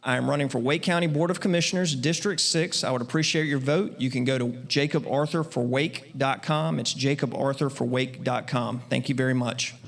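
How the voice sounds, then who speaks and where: A man's voice: medium pitch, steady tone, brisk, vocal fry, few pauses, a bit bright. A man gives a campaign speech as a political candidate in a pointed, practiced manner.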